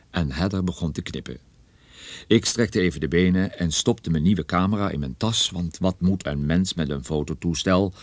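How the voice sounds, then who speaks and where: A man's voice: sits low, darkish tone, no echo, exaggerated voice. An actor playing a wry, self-deprecating private detective who narrates his own story in a radio drama.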